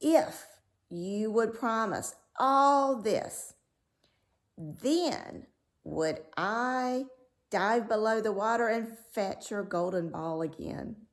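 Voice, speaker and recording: Slow, earnest storybook frog voiced by a woman. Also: medium pitch, expressive. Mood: earnest, bargaining. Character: ugly talking frog, pleading suitor to a princess. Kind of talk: storybook read-aloud character voice.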